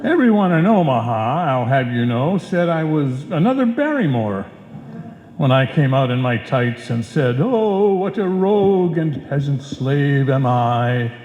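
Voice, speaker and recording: A man's voice: mid-range voice, very animated, unhurried, darkish tone. A man playing a pompous, hammy salesman who declaims like a Shakespearean actor in an old-time radio comedy. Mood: proud, pompous. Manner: theatrical, pompous, grandly declaiming. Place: live performance in a reverberant hall. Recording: echoey hall, dull live stage sound.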